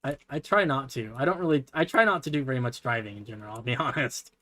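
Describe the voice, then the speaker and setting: Streamer guy, soft relaxing voice, mid pitch, dry close sound, rambly and self-deprecating, keyboard clicks behind. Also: quick pace, no room sound. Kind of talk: casual livestream chat while building.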